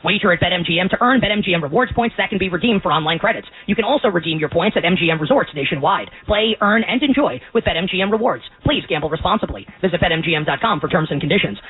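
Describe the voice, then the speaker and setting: An American man reading a scripted advertising disclaimer as an announcer. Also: high-pitched. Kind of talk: rapid advertising disclaimer read. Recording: narrow-band radio advertisement.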